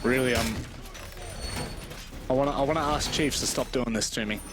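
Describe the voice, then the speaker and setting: Male esports commentator, mid-range pitch, informal joker, clear game battle noise under the voice. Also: normal speed. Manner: informal, self-deprecating, joking. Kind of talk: live esports commentary, casual banter. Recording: game gunfire noise behind voice. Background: video game gunfire.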